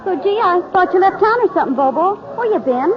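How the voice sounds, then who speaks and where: High, brassy barroom dame. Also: female voice, animated, quick pace. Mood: flirtatious. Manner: slangy, pushy. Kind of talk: 1940s American radio drama acting. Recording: muffled 1940s radio broadcast with music.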